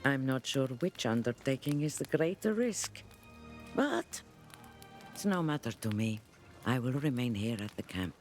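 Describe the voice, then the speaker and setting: A man acts out a world-weary, blunt warrior for a fantasy video game. He speaks slowly and solemnly at a medium pitch, with dramatic rises and falls. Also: lively intonation, soft background music, natural voice. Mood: resigned. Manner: solemn, measured, scolding. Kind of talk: video game cutscene acting.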